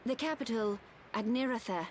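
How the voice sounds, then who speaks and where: An actress playing a calm, solemn woman of an ancient machine people in a game cutscene, speaking in a measured, formal way. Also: on the high side, slight background noise, natural voice. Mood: calm, resolute. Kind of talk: video game cutscene acting. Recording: loud music behind the voice. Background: orchestral game music.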